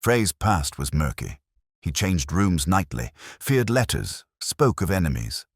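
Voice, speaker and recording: A man's voice: low-pitched, very animated, unhurried, husky, dry recording, studio quality, voice pushed up, full character voice. A man voicing an earnest, attentive doctor and detective's companion in an audiobook, speaking factually. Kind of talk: audiobook detective story dialogue.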